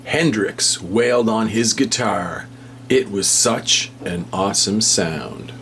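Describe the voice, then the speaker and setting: A man reads a picture book aloud for young children in a fairly low voice. His delivery is very slow, with deliberate phrasing. A faint hum and light noise sit behind him. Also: fairly bright tone. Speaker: storybook reader.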